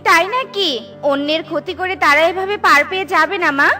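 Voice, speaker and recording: Good-hearted cartoon bird girl, adult female performer: bright, very high, caring, righteous and reassuring. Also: brisk, soft background music. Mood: resolute, reassuring. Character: kind, righteous little female bird Tuni. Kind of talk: cartoon storybook acting.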